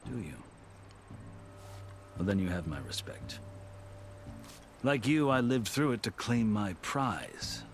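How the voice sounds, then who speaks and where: A man playing a seasoned, proud warrior turned lawgiver in a video game, telling his tale in measured, formal, eloquent phrases. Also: fairly low pitch, big pitch swings, slight background noise. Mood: proud, wry. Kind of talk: scripted video game cutscene dialogue. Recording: music behind the voice.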